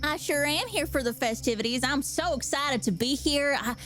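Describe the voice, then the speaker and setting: A woman's voice: sits high, normal speed, a bit bright, faint music behind, voice pushed up. A woman playing a flustered, gushing young adventurer. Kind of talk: tabletop game character voice.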